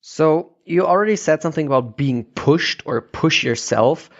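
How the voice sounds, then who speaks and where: Halting male interviewer, slightly dull sound. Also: a little high, lively intonation. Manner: halting, searching for words. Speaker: podcast host, interviewer. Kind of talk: casual interview.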